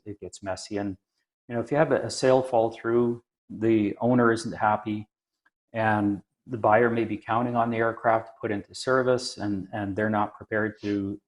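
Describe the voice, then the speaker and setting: A man talking through technical points in a conversational, measured and halting way, like an experienced expert thinking aloud. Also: fairly low pitch, rough recording. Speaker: aviation maintenance engineer and inspector, podcast regular. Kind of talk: conversational explanation.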